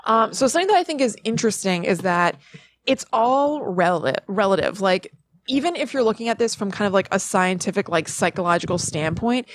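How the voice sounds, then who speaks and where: A woman with an average-pitched voice talks as a podcast co-host. She explains casually and thinks aloud, leaning on informal filler words. The sound is dry, with hardly any room in it. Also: animated, fairly quick, dry recording. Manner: informal, filler-heavy, musing. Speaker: podcast co-host presenting research.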